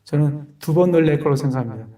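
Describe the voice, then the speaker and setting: A man's voice: fairly high pitch, quick pace, mellow, recorded from a distance. A man preaching a sermon as pastor, explaining a passage verse by verse and asking rhetorical questions.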